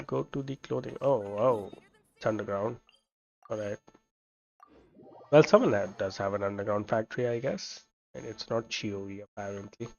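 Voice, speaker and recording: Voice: mid-range voice, vocal fry, darkish tone, lo-fi sound. A video game streamer giving casual playthrough commentary, offhand and muttering to themselves. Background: game music.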